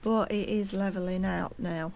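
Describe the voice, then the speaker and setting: A woman's voice: mid-range voice, fairly even intonation, moderate pace, vocal fry, a little mellow, a little hiss or hum. A woman narrating a casual walking tour, chatty and hesitant with plenty of ums, at times out of breath from climbing. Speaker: walking vlogger. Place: outdoors on a hillside.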